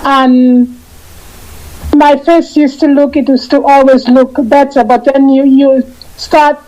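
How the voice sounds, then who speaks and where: A woman in her fifties chats as a radio guest in a high, mellow voice. She stops and restarts often, repeating words as she gathers her thoughts. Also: steady tone, poor audio. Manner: halting, repeats and restarts phrases. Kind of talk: casual radio interview chat.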